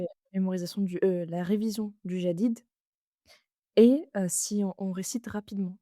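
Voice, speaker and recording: A woman's voice: medium pitch, mellow, dry recording, studio quality. A woman hosting a podcast interview, earnest and hesitant with many fillers. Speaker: podcast host. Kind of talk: interview conversation.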